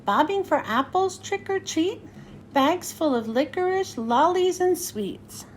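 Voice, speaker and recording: A woman reading a rhyming storybook to children in an expressive, sing-song way. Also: very high voice, unhurried, a little hiss or hum. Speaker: children's read-aloud host. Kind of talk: scripted storybook narration for children.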